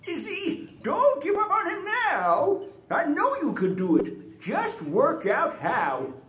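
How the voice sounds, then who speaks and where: A man playing a gentle, encouraging old grandfather in a live storybook radio drama. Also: very high-pitched, wildly swooping pitch, slow pace, slight background noise, heavily acted. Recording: echoey live room with music.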